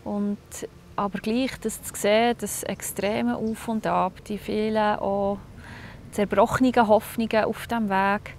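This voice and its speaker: A woman in her thirties with a Swiss German accent, speaking softly in a personal interview and searching for her words with small restarts. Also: medium pitch, flat delivery, unhurried, slight background noise. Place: indoor music room. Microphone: lapel.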